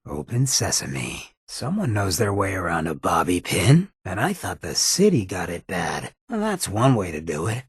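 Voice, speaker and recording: An actor voicing a sly, swaggering outlaw mayor in a video game, with a gravelly rasp and cocky, streetwise, slangy drawl. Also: male voice, sits low, sing-song, moderate pace, few pauses, dry recording, studio quality, heavily acted. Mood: wry, laid-back. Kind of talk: video game companion dialogue.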